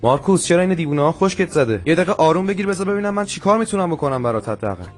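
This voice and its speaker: A man voicing a nervous, well-meaning friend who keeps pleading and trying to calm others down. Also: on the high side, soft background music, close to an everyday voice. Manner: anxious, pleading, talks people down. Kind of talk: comedic dubbing.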